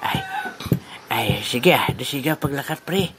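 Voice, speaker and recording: Man acting a slow-talking, teasing buddy. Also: mid-range voice, animated. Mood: casual, placating. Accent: Western Visayan Filipino. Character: teasing, chuckling male drinking buddy in a comedy. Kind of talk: comic audio drama acting. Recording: noisy recording with clicks, rooster crowing.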